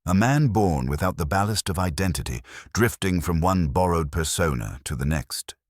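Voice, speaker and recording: A man voicing a commanding male detective, formal, measured and deliberate, laying out a case in a dramatised detective audiobook. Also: low-pitched, expressive, no echo, studio quality. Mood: measured, confident.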